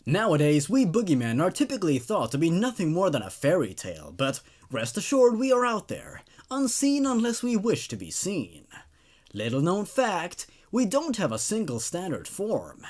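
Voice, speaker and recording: Man as a theatrical boogeyman salesman, lively and high-pitched. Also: moderate pace, exaggerated voice. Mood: proud, salesmanlike. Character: cheesy shapeshifting boogeyman pitching his scare service to parents.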